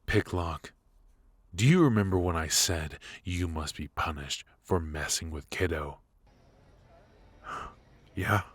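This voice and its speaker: A man playing a suave, ruthless mafia boss in a noir audio roleplay, menacing and teasing, talking smoothly in short, deliberate phrases. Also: low-pitched, dry recording, heavily acted. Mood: menacing, restrained.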